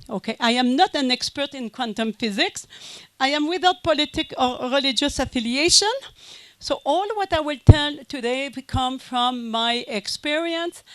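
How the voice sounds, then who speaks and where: A French Canadian woman, a native French speaker, giving a conference lecture in a halting way with many fillers and restarts. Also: high voice, no room sound. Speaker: guest speaker.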